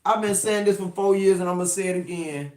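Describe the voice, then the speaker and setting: A man's voice: high-pitched, quick pace, darkish tone, slight background noise. A man in his fifties giving a casual tarot reading, talking emphatically with profanity and rambling restarts. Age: 50s. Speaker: tarot reader. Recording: echoey room.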